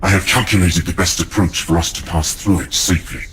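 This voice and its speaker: An actor playing a precise starship navigation officer in a game, composed and formal. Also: male voice, low voice, steady tone, brisk, fairly bright tone, slight background noise. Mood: calm, precise.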